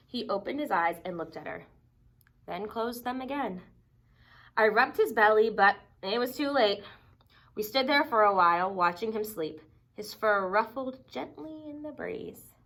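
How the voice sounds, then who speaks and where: A woman reading a children's picture book aloud expressively, with dramatic emphasis and deliberate pauses. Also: medium pitch, pushed and bright. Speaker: storybook reader.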